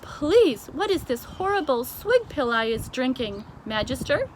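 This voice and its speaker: A woman voicing a friendly, excitable giant from a children's storybook, exclaiming in muddled grammar and made-up words. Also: high voice, a little slow, slight background noise, voice pushed up. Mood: disgusted, indignant.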